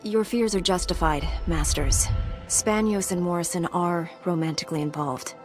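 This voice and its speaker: An actress playing a composed, frank young Jedi apprentice in a video game. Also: female voice, fairly low pitch, fairly even intonation, normal speed, music in the background, lightly acted. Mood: dutiful. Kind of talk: scripted video game acting.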